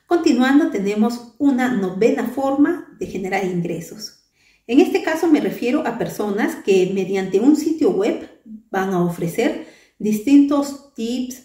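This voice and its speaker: A woman in her thirties talks to the camera in a patient, explanatory way, dotting her sentences with fillers and little "right?" tags. Her voice is mellow and soft-edged. The sound is roomy and echoey, and she sits a bit back from the mic. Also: medium pitch, recorded from a distance. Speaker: crochet channel host. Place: small bright room indoors. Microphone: camera at a distance, head and shoulders framing.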